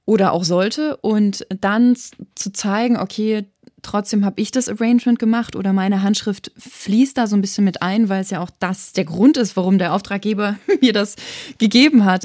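A woman's voice: medium pitch, darkish tone, dry recording, clean studio sound. A woman in her thirties from eastern Germany, a composer talking thoughtfully in an interview and often restarting her sentences. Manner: thoughtful, searching for words with frequent restarts. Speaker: composer and arranger guest. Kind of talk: reflective interview talk.

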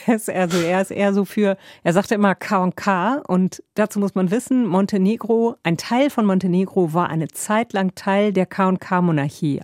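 A woman's voice: mid-range voice, a little mellow, no room sound, clean studio sound. A woman working as a travel reporter, telling travel stories in a chatty, rambling way with fillers. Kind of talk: casual storytelling conversation.

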